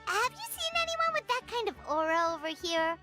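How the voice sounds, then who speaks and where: A woman's voice: very animated, normal speed, bright, forceful tone, exaggerated voice. A woman voicing a tiny, eager, childlike fairy guide in a video game. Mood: friendly, curious. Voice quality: squeaky, childlike. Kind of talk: cartoonish video game voice acting. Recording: prominent playful music behind.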